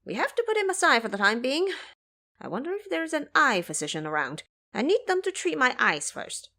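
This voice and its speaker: A woman voicing a proud, excitable male monkey warrior in an audio drama, exclamatory and boastful. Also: high-pitched, fairly quick, pitched above the performer's own voice, heavily acted. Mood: brisk, frustrated. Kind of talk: audio drama character dialogue.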